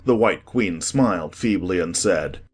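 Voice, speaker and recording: A man's voice: deep voice, animated, unhurried, breathy edge. A man reading scripted storybook narration expressively.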